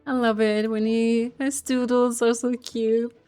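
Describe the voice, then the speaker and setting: Giggly, higher-voiced woman gaming. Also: measured pace, clear, resonant tone, soft background music. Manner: giggly, expressive. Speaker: game streamer. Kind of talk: casual reaction and reading game text aloud.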